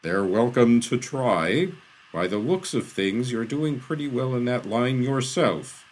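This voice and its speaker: A man voicing a powerful female sorceress heard from thin air in a storybook, menacing and mocking, slow, loud and imperious. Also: medium pitch, wildly swooping pitch, breathy edge, voice pushed up, exaggerated voice.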